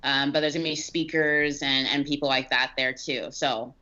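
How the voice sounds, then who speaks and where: A woman's voice: rumbling low, steady tone, moderate pace, bright, forceful tone, muffled recording. A woman chatting casually as a guest on a podcast. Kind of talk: casual chat.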